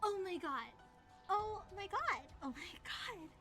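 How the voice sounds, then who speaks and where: A woman streaming a visual novel playthrough, expressive and excitable as she narrates and chats. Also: very high voice, slow. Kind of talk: casual livestream chat and dramatic narration. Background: music.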